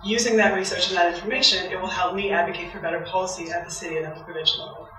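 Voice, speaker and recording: A soft-voiced woman from Canada, a waste campaigner giving a public talk, chatty and eager. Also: fairly low pitch, steady tone, racing, slight background noise. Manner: chatty, eager, laughs often. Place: event hall. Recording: very echoey hall from far off.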